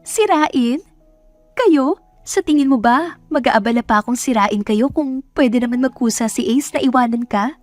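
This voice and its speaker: A woman plays a scheming young female rival in an audio drama. She is smug and taunting in a fairly high voice, with false sweetness. It sounds dry, with music behind. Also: moderate pace, no echo, close to an everyday voice. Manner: mock-helpful, taunting. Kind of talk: Tagalog audio drama acting.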